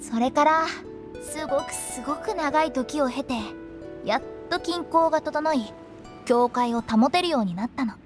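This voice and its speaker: A woman's voice: sits high, measured pace. A woman voicing a playful, cryptic young female funeral director in a Japanese anime game, with a teasing, theatrical delivery. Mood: solemn, mysterious. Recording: studio voice over background music.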